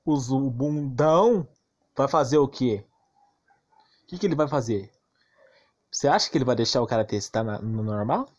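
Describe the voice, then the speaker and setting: A man's voice: medium pitch, darkish tone. Brazilian man, emphatic gaming rant. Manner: emphatic, repetitive, asks rhetorical questions; slangy and crude. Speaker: gaming YouTuber. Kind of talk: casual ranting game commentary.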